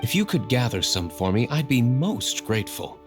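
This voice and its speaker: A man's voice: medium pitch, very animated, normal speed, close to an everyday voice. An actor playing an aloof, brooding young nobleman in a video game, speaking in a reserved, formal and terse way. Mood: calm, calculating. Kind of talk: video game character acting. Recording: game music behind the voice.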